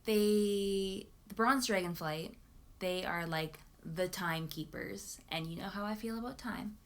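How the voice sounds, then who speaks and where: Unhurried woman chatting about favourites. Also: medium pitch. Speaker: book vlogger. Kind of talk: casual chat to camera.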